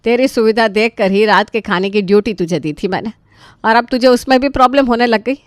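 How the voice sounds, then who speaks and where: A woman's voice: a little high, a little fast, no echo, clean studio sound, natural voice. A woman voicing an exasperated, put-upon middle-aged mother-in-law in a story, complaining dramatically.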